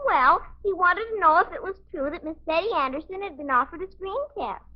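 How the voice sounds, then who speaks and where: A woman's voice: extremely high pitch, brisk. An actress playing a chirpy, literal-minded little girl who earnestly recites things in a 1950s radio sitcom. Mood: eager, matter-of-fact. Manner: earnest, recites facts primly. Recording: muffled old radio sitcom recording.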